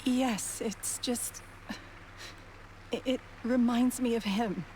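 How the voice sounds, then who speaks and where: A woman playing a gentle, wistful bereaved woman in a video game, reflective and measured. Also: fairly high pitch, fairly even intonation, a little hiss or hum, poor audio. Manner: soft-hearted, reflective, measured. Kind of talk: dramatic video game voice acting.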